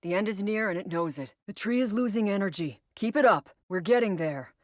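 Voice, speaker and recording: A woman's voice: medium pitch, lively intonation, fairly quick, no echo, studio quality, natural voice. An actress playing a female military operative in a video game, briefing her squad with clipped, instructive delivery. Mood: encouraging. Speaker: mission briefer and incursion leader.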